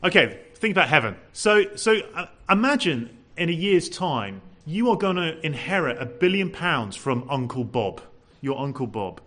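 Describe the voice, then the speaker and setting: Man, preacher, expressive and loud, rises and falls a lot. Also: on the high side, normal speed, few pauses. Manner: lively, animated preaching with rhetorical questions; says he has a loud voice. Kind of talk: sermon.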